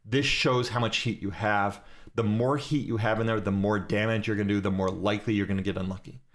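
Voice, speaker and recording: A man with a fairly low voice runs a class inside a live game. He coaches his fleet step by step and tells them exactly where to go and what to click. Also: fairly even intonation, brisk. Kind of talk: live instruction over voice chat during a game.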